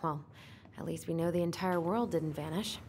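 A woman's voice: fairly low pitch, normal speed, darkish tone, a little hiss or hum, lightly acted. An actress playing a wary, practical young woman in a video game, with dry, clipped delivery. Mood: dry, weary. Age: young adult.

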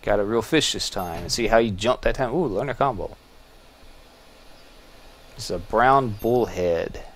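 A man casually talking through a game as he plays, relaxed and musing, thinking aloud with small restarts. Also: lowish voice, measured pace, slight background noise. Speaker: gameplay video host. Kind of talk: casual gameplay commentary.

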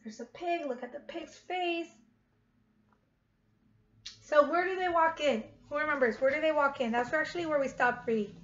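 A woman's voice: sits high, normal speed, pauses often, a bit bright. A woman in her thirties reading aloud to young children as their teacher, repeating questions to them on a webcam at home. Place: living room at home, indoors. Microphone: webcam at about arm's length. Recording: dull webcam sound with clear noise.

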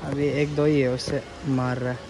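A man's voice: mid-range voice, level tone, moderate pace. A young man from Northeast India chatting casually on a vlog while fishing outdoors by a river, laughing often and mixing in English words. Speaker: vlogger. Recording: noisy outdoor riverside recording. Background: river water and construction noise.

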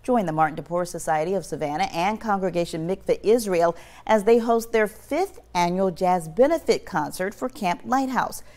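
A woman's voice: lowish voice, moderate pace, few pauses, darkish tone. A woman anchoring a local morning news show, introducing and interviewing a guest in a polished, upbeat presenter manner on a lapel mic. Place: TV news studio.

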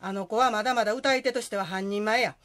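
A woman's voice: mid-range voice, quick pace, a bit bright, natural voice. A woman with a Kansai accent playing a bossy, blunt dead wife who returns as a comic ghost in a radio drama. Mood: bossy, scolding. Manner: scolding, blunt.

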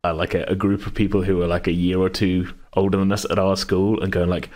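A man's voice: sits low, little pitch movement, fast talker, darkish tone. A British man in his thirties hosting a casual chat, rambling and often cutting himself off mid-thought. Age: 30s. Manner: rambling, hedging, self-interrupting. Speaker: podcast host and game master.

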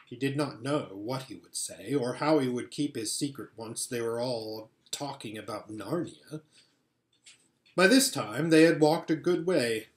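A middle-aged man reading a children's book aloud in expressive narration. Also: mid-range voice, a little slow. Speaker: story reader. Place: furnished living room at home, indoors. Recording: echoey home room.

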